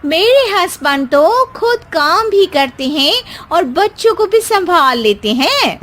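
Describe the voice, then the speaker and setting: A woman's voice: very high voice, big pitch swings, slow pace, fairly bright tone, soft background music, voice pushed up, exaggerated voice. A woman storyteller voicing a sociable, inquisitive married woman chatting at a party in a narrated story. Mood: chatty. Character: sociable female party guest, wife of colleague.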